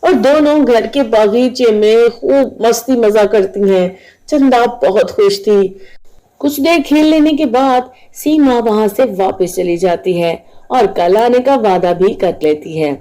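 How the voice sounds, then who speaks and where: Slow, high-voiced woman storyteller. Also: dark tone, low-quality recording. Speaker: storyteller, narrator. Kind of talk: scripted narration of a Hindi moral story.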